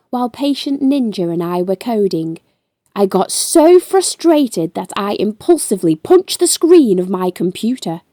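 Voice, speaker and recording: A woman reading a children's book aloud as a storytime host, with expressive, deliberate storytelling and drawn-out emphasis. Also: medium pitch, no echo, studio quality.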